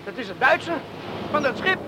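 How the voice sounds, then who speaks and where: An island rescuer in a radio drama, with wind and sea behind him. The voice is very high, practical and plain, sometimes halting. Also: male voice, big pitch swings. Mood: practical, concerned. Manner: plain, practical, halting when speaking German. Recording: clear wind and sea noise.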